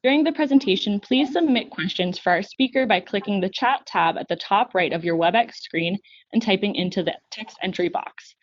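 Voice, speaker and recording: A young woman moderating a webinar, reading a formal introduction clearly and steadily over a video call. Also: mid-range voice, brisk. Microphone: video call microphone. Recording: slightly dull lo-fi online call audio.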